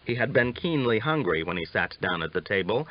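A man's voice: fairly low pitch, sing-song, a little fast. A man reading a western novel aloud as a scripted audiobook narration. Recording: dull lo-fi audiobook recording.

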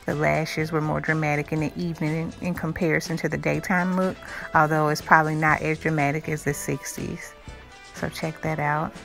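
A woman, hoarse from losing her voice, talking softly through a casual makeup tutorial in a small room, close to the camera. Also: sits low, level tone, normal speed, faint music behind. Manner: soft-spoken, drops to a near whisper. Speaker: beauty vlogger. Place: small dark room indoors. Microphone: camera close to the face.

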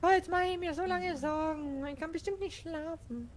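A woman with a very high voice chats in character in an online survival role-play. She comes across as fretful and worrying. Faint noise sits behind her. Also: normal speed.

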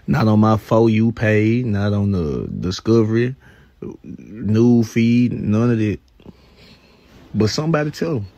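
A man's voice: fairly low pitch, unhurried, long pauses, mellow, no room sound, highs cut off. A man doing a joking, mocking social media rant.

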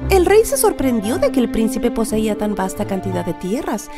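A woman narrating a children's fairy tale in an expressive, dramatic storytelling manner. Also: fairly high pitch, normal speed, music in the background.

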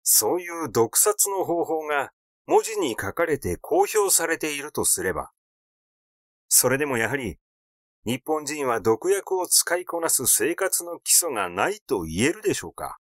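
A man's voice: a little high, big pitch swings, unhurried, dry recording, clean studio sound. A man voicing a suspicious son who questions politely and insistently in a mystery audiobook. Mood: probing, suspicious. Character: suspicious, persistently probing son of the deceased.

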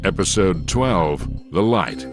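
Slow, gravelly male announcer. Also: low voice, animated. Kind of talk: dramatic scripted announcement. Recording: loud music under the voice.

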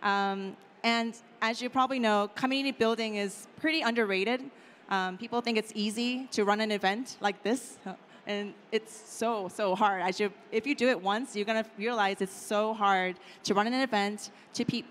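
A woman's voice: mid-range voice, a bit bright. Chatty woman speaking in a hall. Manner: chatty, lots of "like" and "right?" fillers. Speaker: conference speaker. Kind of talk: casual conference talk. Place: conference stage in a large hall. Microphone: handheld mic. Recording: hall reverb with faint room noise.

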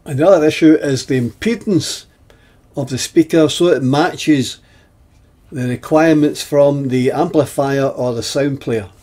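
A man in his seventies giving an explanatory technical lesson in a deliberate, halting way, with pauses between phrases and a husky voice. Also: a little high, a little mellow. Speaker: presenter of an instructional video.

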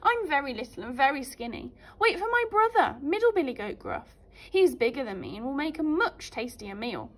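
A woman's voice: high voice, big pitch swings, moderate pace, few pauses. A woman voicing a little, skinny, timid young male billy goat in a storybook, pleading and trembling. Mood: frightened, pleading.

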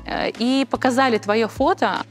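A young adult woman hosting a studio interview, asking prepared questions with lively emphasis. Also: on the high side, moderate pace, faint music behind. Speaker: interview host. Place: dark indoor studio set, seated in armchair with tablet.

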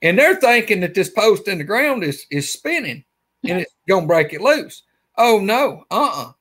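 A man's voice: high voice, normal speed, breathy edge. A man in his forties with an Alabama accent, telling a rambling story as a guest on a video call from his man cave. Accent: Southern US, Alabama. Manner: animated, rambling storyteller with lots of fillers. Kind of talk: casual storytelling interview. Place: his man cave, small indoor room. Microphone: webcam on a video call.

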